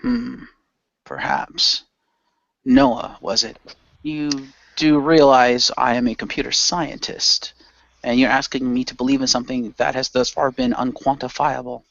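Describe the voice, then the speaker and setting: A man playing a skeptical computer scientist in a radio play, measured, dubious and precise in his wording. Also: medium pitch, steady tone, gravelly, a bit bright, no echo. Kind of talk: improvised radio play roleplay. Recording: dull lo-fi voice recording.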